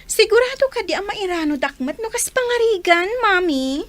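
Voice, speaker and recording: A woman's voice: very high-pitched, expressive, moderate pace. A woman playing a catty, scheming teenage schoolgirl in an audio drama, with a sassy, theatrical delivery. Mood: scheming, sulky.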